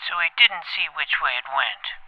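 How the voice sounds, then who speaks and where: A man playing a pompous, precocious twelve-year-old boy detective in an audio drama, formal and wordy, with a creaky voice. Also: a little high, expressive, normal speed, no room sound, lo-fi sound, natural voice. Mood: inquisitive. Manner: formal, verbose, clipped interrogator's delivery. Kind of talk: scripted audio drama acting.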